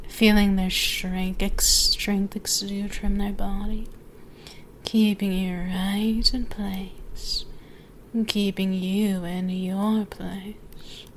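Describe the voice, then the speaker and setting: A woman plays an ancient dragoness in an intimate ASMR roleplay. She speaks slowly, teasing and dominant, often sinking toward a whisper. A clear layer of background ambience sits behind the voice. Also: medium pitch, fairly bright tone, a little hiss or hum. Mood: sultry, dominant. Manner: slow, teasing, drawn-out, often dropping to whispers.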